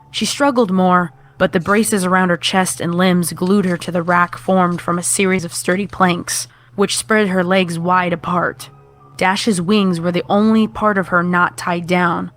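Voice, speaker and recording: A woman's voice: lowish voice, a bit bright. A woman reading scripted horror fiction as the narrator.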